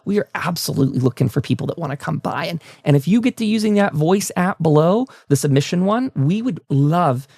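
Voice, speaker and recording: A man's voice: a little high, animated, a little fast, vocal fry, hardly any pauses, a little mellow, no echo. A man hosting a talk show, chatting in a casual, rambling podcast style as he talks to his audience. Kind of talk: casual podcast outro, plugging websites and upcoming episodes.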